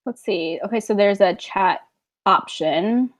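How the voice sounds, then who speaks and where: A woman co-hosting a casual book club livestream, relaxed and chatty, with lots of "like" in her talk. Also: mid-range voice, a little slow. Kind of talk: casual chat.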